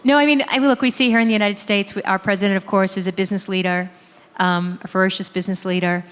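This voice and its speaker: A woman's voice: mid-range voice, steady tone, fast talker. A woman moderating a panel, introducing the guests in a chatty way with many fillers. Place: conference hall. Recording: reverberant hall with faint noise.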